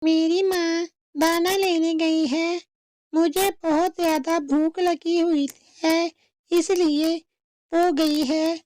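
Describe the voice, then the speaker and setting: A woman's voice: extremely high pitch, little pitch movement, slow pace, darkish tone, no echo, clean studio sound. A woman voicing a small, earnest, obedient young male bird chick in a cartoon story. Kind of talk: slow cartoon story dialogue.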